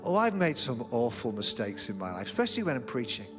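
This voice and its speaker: A man's voice: mid-range voice, sing-song, fast, over music. A middle-aged man preaching a sermon as senior pastor in a church, telling humorous, self-deprecating stories. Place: church auditorium.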